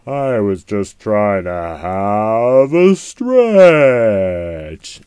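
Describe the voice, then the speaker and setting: A storyteller acts out a tired old male lion from a children's storybook. He grumbles mildly in slow, swooping, drawn-out exclamations, loud and bemused, with a close, dry sound. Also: mid-range voice, sing-song, clear, resonant tone, no echo. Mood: baffled, complaining.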